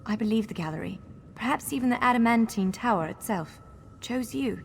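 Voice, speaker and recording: A woman's voice: a little high, expressive, fairly quick, creaky. A woman playing an earnest, encouraging female elf scholar who guides a hero in a fantasy video game. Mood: solemn. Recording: long hall reverb, faint music.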